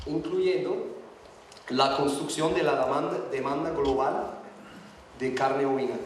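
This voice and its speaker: A man's voice: fairly high pitch, far from the mic, slight background noise. Hesitant man in an echoey hall. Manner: hesitant, halting non-native Spanish. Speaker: guest speaker. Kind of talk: conference talk, partly read from notes. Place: large conference hall.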